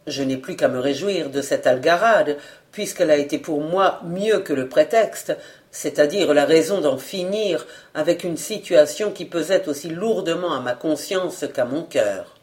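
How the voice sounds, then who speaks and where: A woman reader voicing a sincere, kindly young male journalist in an audiobook, speaking in a measured, formal way. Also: deep voice, animated, a little mellow, pitched below the performer's own voice. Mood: earnest.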